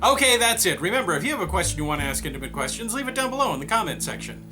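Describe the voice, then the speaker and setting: A man with a high voice hosts a comedy question segment. He answers viewer questions in a deadpan, gloomy ramble. Guitar music plays clearly behind him. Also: very fast. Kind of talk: comedic scripted monologue.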